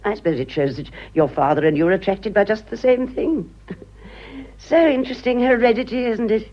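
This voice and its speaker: A woman's voice: medium pitch, a little fast, close to an everyday voice. An actress playing a vain, gushing, aging society mother with an upper-class English accent in a radio comedy. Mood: confiding. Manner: effusive, drawling, girlishly theatrical. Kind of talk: stage comedy radio acting. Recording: dull, muffled old radio drama.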